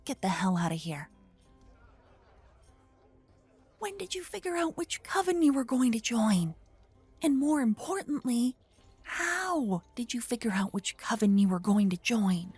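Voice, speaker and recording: A woman playing an adorable young aspiring paladin in an ASMR roleplay, caring and teasing, soft-spoken and easily flustered into stammers. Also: on the high side, expressive, normal speed, breathy edge, dry recording, soft background music. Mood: surprised, flustered.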